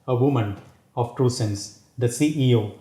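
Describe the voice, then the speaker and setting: A man hosting an interview show, delivering a scripted introduction and questions in a formal, measured way. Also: mid-range voice, dark tone. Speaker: interview host. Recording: roomy echo.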